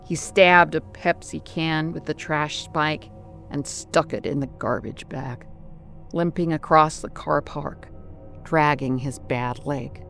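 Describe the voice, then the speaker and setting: Audio drama narrator for scripted horror: unhurried, deliberate, clipped phrasing, mid-pitched, over music. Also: vocal fry, a bit bright.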